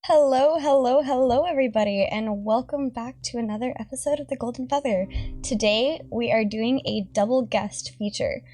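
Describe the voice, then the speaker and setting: A fairly high-voiced woman hosts a gaming podcast with a bubbly, giggly manner. She rambles a bit and fills the gaps with ums. Faint music plays softly behind her. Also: moderate pace, no room sound. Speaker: podcast co-host. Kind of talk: casual podcast chat.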